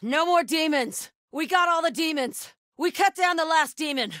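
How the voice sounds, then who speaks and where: A woman's voice: high voice, moderate pace, dry recording, studio quality. A woman playing a fierce, devout cult fighter in a video game, delivering her lines with fanatical conviction. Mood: aggressive, vengeful. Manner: urgent shouted battle calls, hushed prayerful murmurs.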